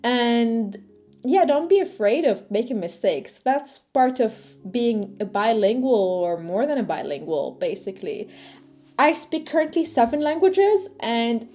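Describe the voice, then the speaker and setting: A woman's voice: fairly high pitch, a little slow. A young woman whose first language is Arabic, chatting casually to camera as a vlogger, full of fillers and asides. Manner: chatty, animated, lots of fillers. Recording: faint music underneath.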